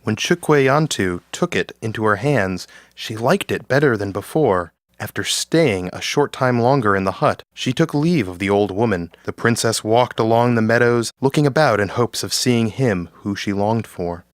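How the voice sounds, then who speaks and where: A man's voice: fairly low pitch, expressive, normal speed, few pauses, no room sound, studio quality. A man narrating a scripted story as the narrator of an audio drama.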